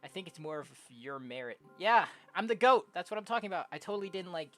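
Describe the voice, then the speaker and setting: Young let's player, casual and jokey, lively pitch, reads game text aloud over faint music. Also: quick pace. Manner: animated, jokey, exclaims loudly. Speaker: streamer playing and reading aloud a game. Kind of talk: casual let's play, reading dialogue aloud.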